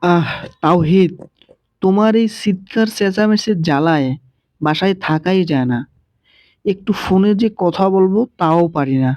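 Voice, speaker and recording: A man's voice: high voice, moderate pace, a little mellow, no room sound, highs cut off, close to an everyday voice. A man voicing a young uncle in a cartoon, scolding and impatient. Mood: annoyed. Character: young uncle, annoyed then flustered.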